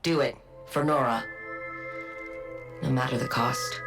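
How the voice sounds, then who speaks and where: Icy woman scientist, low register, formal and clipped, game cutscene with music behind. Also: steady tone, measured pace, gravelly, heavily acted. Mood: determined. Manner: formal, clipped, coolly precise. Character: cold, logical woman scientist devoted to her frozen wife. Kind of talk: video game cutscene acting.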